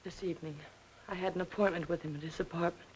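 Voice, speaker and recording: Actress as a low-voiced, guarded young woman. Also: steady tone, quick pace, lightly acted, poor audio. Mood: guarded, subdued. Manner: reserved, soft-spoken, composed. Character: poised, guarded young female politician's daughter, coolly polite. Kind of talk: 1940s crime film acting. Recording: hissy old 1940s film soundtrack.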